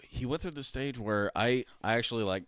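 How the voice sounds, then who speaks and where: A man's voice: medium pitch, a little fast, fairly bright tone, no room sound, studio quality. A man hosting a podcast from his home, talking in an easygoing way and swearing casually. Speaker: podcast host. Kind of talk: casual conversation.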